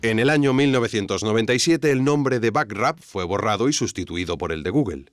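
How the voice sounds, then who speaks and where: A man's voice: lowish voice, lively intonation, a little fast, no echo, natural voice. A man playing a wry investigative narrator, reading scripted documentary narration in an audio drama. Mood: wry storytelling. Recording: faint music bed.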